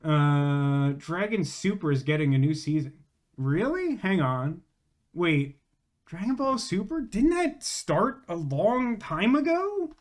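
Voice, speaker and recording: A man's voice: high voice, big pitch swings, slow pace. A man hosting a gaming livestream, chatting casually to viewers and thinking aloud in a rambling way. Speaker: livestream host, gamer.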